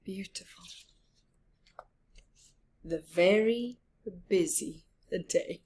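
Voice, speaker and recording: A woman's voice: mid-range voice, drawn-out words, a little mellow, breathy edge. A woman hosting storytime, reading aloud expressively to young children and adding chatty asides. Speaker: storytime host.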